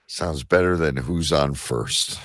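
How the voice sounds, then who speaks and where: A man's voice: rumbling low, slow pace, fairly bright tone, no echo, studio quality. A man hosting a casual live talk show, teasing and prodding his guests with joking questions.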